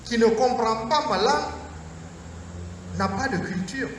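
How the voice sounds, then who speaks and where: Man priest, high voice, slow measured sermon phrasing, heard from afar in a reverberant hall. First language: Mooré. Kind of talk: Lenten lecture sermon. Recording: echoey hall from far off, faint noise.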